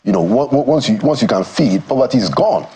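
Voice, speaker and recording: A Nigerian man answering as a guest in a TV studio interview, speaking in a measured, thoughtful way and pausing to find his words. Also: mid-range voice, expressive, mellow, husky. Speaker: studio guest, former government aide. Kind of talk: morning TV interview.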